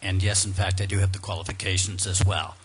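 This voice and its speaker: A man answers questions from a town board in a polite, earnest way, with some pauses and fillers. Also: low-pitched, fairly even intonation, breathy edge, faint music behind. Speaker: applicant at a board interview. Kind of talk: answering questions at a public meeting. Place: town board meeting room.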